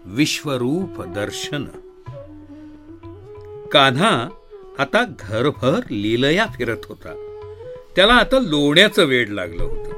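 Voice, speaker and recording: Animated, bright-voiced man telling sacred tales. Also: medium pitch. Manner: theatrical, emphatic delivery. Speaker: narrator, reader voicing a sage's discourse. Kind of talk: dramatic scripted storytelling discourse.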